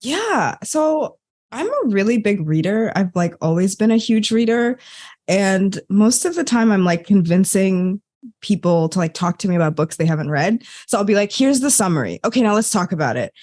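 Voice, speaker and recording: Lively-voiced woman telling stories. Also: medium pitch, moderate pace. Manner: animated, acts out her own quoted thoughts. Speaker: podcast guest, Enneagram coach. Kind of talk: casual conversational storytelling.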